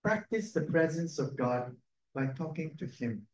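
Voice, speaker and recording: A man, a priest and native Cantonese speaker, giving a gentle, conversational reflection on the Sunday readings, often adding a questioning "no?". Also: on the high side, expressive, unhurried. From Cantonese-speaking region. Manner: gentle, conversational, tag "no?" and soft laughs. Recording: echoey room.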